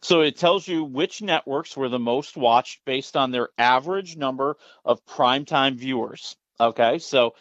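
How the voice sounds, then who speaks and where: A man's voice: mid-range voice, a little slow, few pauses, a bit bright, no room sound, lo-fi sound. A man giving a conversational entertainment report as a radio guest, telling stories in a chatty, emphatic way.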